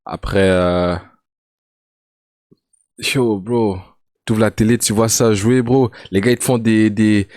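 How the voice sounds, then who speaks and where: A young man reacting to a video and breaking into a loose, slangy story, full of restarts and excited outbursts. His voice sits in a middle register. The sound is close and dry, with no room around it. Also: mid-range voice, no echo. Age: young adult. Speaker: reaction streamer. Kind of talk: casual reaction commentary.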